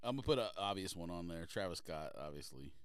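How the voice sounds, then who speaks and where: A man's voice: low voice, lively intonation, quick pace, crowd noise. A man co-hosting a podcast in casual debate, insistent and argumentative. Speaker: podcast co-host.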